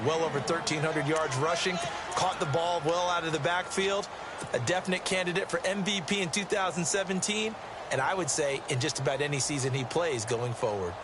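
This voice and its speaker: A man's voice: fairly high pitch, natural voice. An American man giving color commentary in a football video game, explaining plays in an emphatic, folksy way. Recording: loud game crowd and field noise behind. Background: stadium ambience from a football game.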